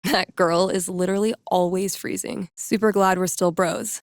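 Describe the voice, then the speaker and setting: A woman's voice: lowish voice, steady tone, moderate pace, no echo, clean studio sound, natural voice. An actress playing a wry, teasing young woman in a video game, talking casually with playful sarcasm. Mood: wry, reflective. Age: young adult. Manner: casual, sardonic, playful banter. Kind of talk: naturalistic video game voice acting.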